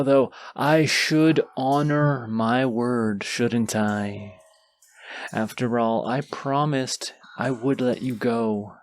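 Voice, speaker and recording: Man acting as a proud wounded dragon, very slow drawn-out delivery, mid-pitched, pompous, condescending, theatrical fantasy roleplay. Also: darkish tone, dry recording, close to an everyday voice. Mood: grateful, dignified. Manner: pompous, deliberate, drawn-out phrasing.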